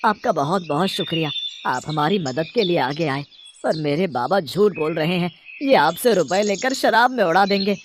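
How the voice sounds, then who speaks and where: A woman's voice: on the low side, animated, moderate pace, slight background noise, natural voice. Woman voicing an earnest young son. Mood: indignant. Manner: earnest, emphatic. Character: earnest, dutiful, protective young son, a student. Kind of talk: Hindi animated moral story voice acting.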